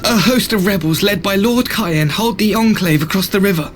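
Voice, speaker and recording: An actor playing a timid low-ranking soldier with a hesitant, deferential manner in a video game cutscene. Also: male voice, normal speed, slight background noise, close to an everyday voice. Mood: nervous deference.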